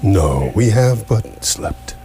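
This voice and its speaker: Man playing an ancient grave alien elder, swooping low voice. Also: very animated, takes it slowly, warm tone. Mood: chatty, wistful. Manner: stately, portentous, then chatty and apologetic. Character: ancient, mild, doddering alien planet-builder, absent-minded and grave. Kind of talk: live radio theatre acting. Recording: noisy live stage recording with hum.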